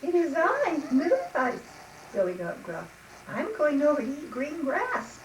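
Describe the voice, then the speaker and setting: A woman voicing a small, pleading billy goat in a children's storybook tale, high and sing-song in a noisy room. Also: big pitch swings, unhurried. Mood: timid. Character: little and middle-sized pleading billy goats. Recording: roomy, distant mic, noisy.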